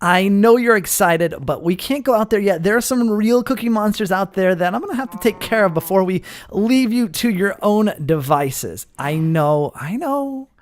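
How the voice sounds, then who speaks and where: A man hosting a gaming video with casual, playful roleplay commentary and animated reactions. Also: sits high, moderate pace, hardly any pauses.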